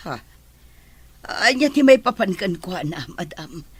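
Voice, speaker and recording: A woman's voice: medium pitch, expressive, measured pace, husky, no room sound. A woman acting as an anxious, deferential housemaid in an Ilocano radio drama, speaking with an Ilocano accent and a respectful, worried manner. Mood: worried, shocked. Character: anxious, deferential housemaid fretting over Dorina. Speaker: voice actor in drama podcast.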